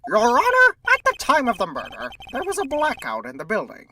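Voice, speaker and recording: A woman's voice: a little high, wildly swooping pitch, brisk, far from the mic, background noise. A woman voicing a smug, pompous male prosecutor with formal, needling delivery in a courtroom game fandub. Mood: formal, assured.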